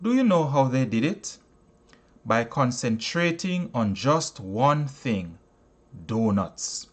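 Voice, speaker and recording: A man with a medium-pitched voice reads a motivational book aloud. His pace is slow and deliberate. He delivers it like an orator, with emphasis on key words and pauses between short phrases. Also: big pitch swings. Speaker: audiobook reader and teacher. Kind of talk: audiobook reading of a self-help book.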